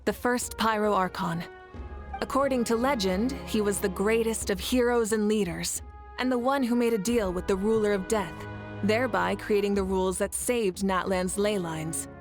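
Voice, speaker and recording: A woman plays a composed leader in a game, steady and sure of herself, speaking with easy reassurance. Her voice is mid-pitched, with soft music underneath. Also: moderate pace, natural voice. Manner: calm, reassuring, self-assured. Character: confident, calm woman leader.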